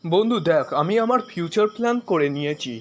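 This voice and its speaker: A man's voice: high voice, lively intonation, fast, a little hiss or hum. A man playing a goofy, boastful young male character in a comedy cartoon dub.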